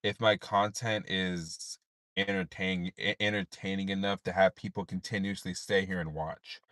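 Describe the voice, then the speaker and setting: A tired man with a deep voice, rambling in a laid-back way with many fillers while livestreaming from his parked car at night. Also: flat delivery, moderate pace, a bit bright, dry recording, studio quality. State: tired, unable to sleep. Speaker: streamer. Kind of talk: late-night livestream chat.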